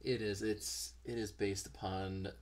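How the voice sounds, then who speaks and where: A man's voice: lowish voice, flat delivery, a little slow, slight background noise. A young American man chatting casually in a quiz, stammering and putting himself down when he gets stuck. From United States. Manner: hesitant, stammering, self-deprecating. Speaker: quiz co-host.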